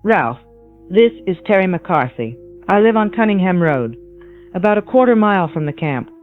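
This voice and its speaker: A woman's voice: lowish voice, big pitch swings, normal speed. A woman voicing a frightened wife home alone, phoning a late-night radio show in an audio drama over a phone line. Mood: frightened, uneasy. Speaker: radio caller. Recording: thin tinny phone line, music behind.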